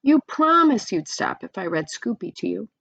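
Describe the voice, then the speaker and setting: A woman's voice: mid-range voice, very animated, moderate pace, creaky, fairly bright tone, no room sound, lo-fi sound, exaggerated voice. A woman voicing an exasperated, easily embarrassed schoolgirl older sister from a storybook, complaining and pleading.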